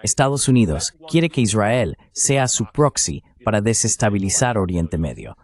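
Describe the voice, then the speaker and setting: A man with a slightly low voice delivers geopolitical commentary. He sounds like a dubbed voice-over of a guest analyst. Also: very animated, moderate pace.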